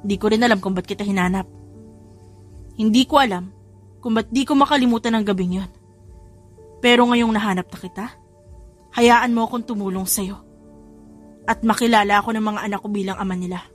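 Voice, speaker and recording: A woman's voice: medium pitch, brisk, long pauses, soft background music, lightly acted. A woman voicing an earnest young man in a romance audio story, speaking in an insistent, emotional, pleading manner. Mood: pleading, intense.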